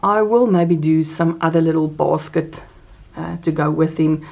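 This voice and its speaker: A woman's voice: unhurried, creaky. A woman in her forties from South Africa, with a South African accent and Afrikaans as her first language, chatting casually about her crochet projects in a small home craft room. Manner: chatty, animated pitch jumps, laughs often. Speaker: crochet vlogger. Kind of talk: casual show-and-tell chat.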